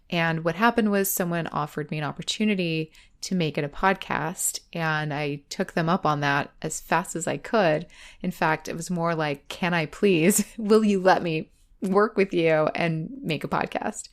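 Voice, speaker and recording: Woman, podcast host, lower voice, reflective scripted monologue, measured phrasing, deliberate pauses. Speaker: podcast host, audio drama creator.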